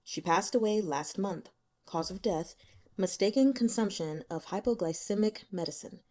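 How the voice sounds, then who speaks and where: A woman reads the part of a stern, overworked male investigator in an audiobook. The delivery is measured and insistent, pressing steadily like an interrogation. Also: mid-range voice, dark tone, close to an everyday voice.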